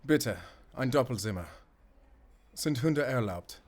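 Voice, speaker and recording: An actor playing a mysterious, confident monster hunter with a German accent in a gothic horror audio roleplay, speaking in a measured way to a companion. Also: male voice, medium pitch, animated. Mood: polite, businesslike.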